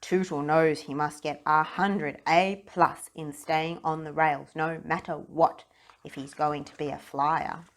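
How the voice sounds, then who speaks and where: A woman voicing a stern railway man in a storybook, speaking slowly and with emphasis. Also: sits low, fairly even intonation. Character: stern railway man in charge of young locomotives.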